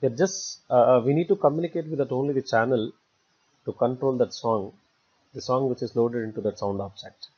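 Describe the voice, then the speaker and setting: A man narrating a step-by-step screencast tutorial as an instructor, phrasing haltingly in short, repetitive chunks. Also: medium pitch, darkish tone, slightly rough. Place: voice-over for a screen recording. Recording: dull, lo-fi voice recording.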